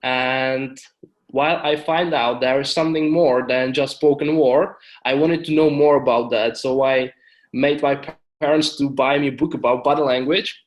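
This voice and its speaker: Czech-accented man, 20s, guest expert, careful halting phrasing, mid pitch. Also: fairly even intonation, poor audio. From Czech Republic. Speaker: guest, body language expert. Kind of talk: casual interview talk.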